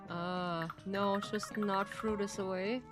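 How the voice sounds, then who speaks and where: A young woman from Turkey streams a game and talks in a slow, unhurried way, often restarting her sentences as she thinks out loud. Her pitch sits in a middle range, and her English carries a first-language feel. Loud game music plays behind her the whole time. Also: fairly even intonation. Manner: chatty, halting, thinks aloud with many restarts. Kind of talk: casual gameplay commentary.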